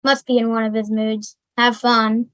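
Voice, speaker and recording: A woman's voice: fairly high pitch, level tone, moderate pace, a bit bright, dry recording, close to an everyday voice. A woman playing a stern, mocking asylum orderly in an amateur table read, clipped and commanding.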